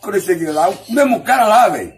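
Animated, high-pitched Bahian man storytelling. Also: fast, a little hiss or hum. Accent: Bahian Brazilian. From Salvador, Bahia, Brazil. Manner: excitable, slangy, repetitive, acting out dialogue. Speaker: comic storyteller. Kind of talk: animated casual anecdote telling.

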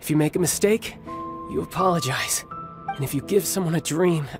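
An actor playing a cocky, devoted young warrior and big brother in a video game, speaking with smooth, theatrical confidence. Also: male voice, a little high, moderate pace, close to an everyday voice. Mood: proud, reflective. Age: young adult. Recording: background music over studio voice. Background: loud game music.

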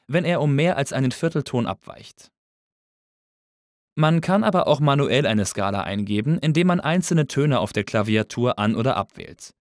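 A man narrating a scripted tutorial as an instructor, in a measured, explanatory way. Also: medium pitch, dry recording, clean studio sound.

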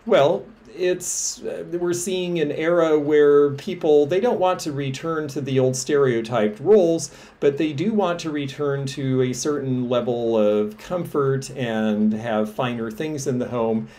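A man's voice: medium pitch, a little slow, dark tone. A middle-aged man, an antiques dealer, chatting enthusiastically as he shows vintage pieces for sale to a camera indoors. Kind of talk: show-and-tell selling vlog. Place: indoors against a textured wall.

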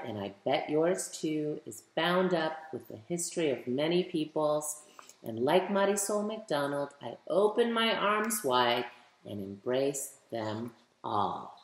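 A woman of fifty reading a picture book aloud expressively, speaking directly to young listeners with questions and emphasis. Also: sits low. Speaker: children's author reading aloud. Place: living room at home, indoors. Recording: roomy indoor webcam echo.

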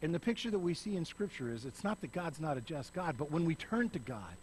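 A middle-aged man preaching on a church stage, swinging between loud emphasis and soft, hushed passages. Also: fairly high pitch, very animated, a little fast, a little hiss or hum, poor audio. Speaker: pastor. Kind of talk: sermon. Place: church stage, in front of a lit backdrop.

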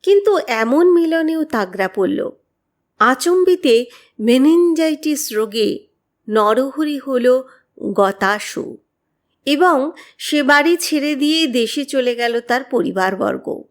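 Lady from reading a spooky story, really slow n expressive, high voice. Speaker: audiobook narrator. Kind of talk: dramatic scripted horror story narration.

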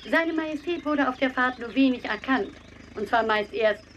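A woman delivers formal scripted narration in a fairly high voice. The recording is slightly dull. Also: moderate pace, a bit bright, slight background noise.

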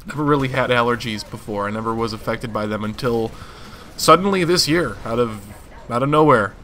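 A hoarse young man in his twenties, rambling casually through a channel update for his viewers. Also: mid-range voice, fairly quick, a little hiss or hum. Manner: rambling, relaxed, many fillers. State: hoarse from allergies. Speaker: gaming channel host.

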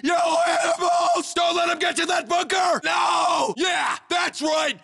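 A man voicing a furious soldier, shouting angry orders in game voice lines. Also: very high-pitched, fairly even intonation, takes it slowly, vocal fry, a faint crowd, heavily acted. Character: furious male American Ranger soldier. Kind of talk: video game combat voice lines.

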